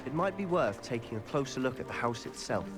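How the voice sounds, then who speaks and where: An actor with an English accent playing an earnest, determined teenage schoolboy wizard in a video game, speaking in an articulate, dramatic way. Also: male voice, mid-range voice, expressive, fairly quick, over music, natural voice. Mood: thoughtful, determined. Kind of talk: scripted video game dialogue.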